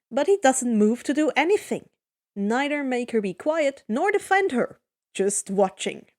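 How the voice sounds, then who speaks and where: A woman narrates a piece of fan fiction as a dramatic story. Her voice sits a little high and she takes her time, reading at a clearly slow pace. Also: a little mellow.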